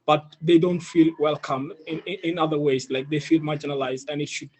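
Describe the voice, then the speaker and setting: A man's voice: a little high, flat delivery, brisk, a little mellow, low-quality recording. A young man with an East African accent giving an earnest personal presentation on an online panel, often adding "you know". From Ethiopia, of South Sudanese family. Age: young adult. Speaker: youth leader, panel speaker. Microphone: video call.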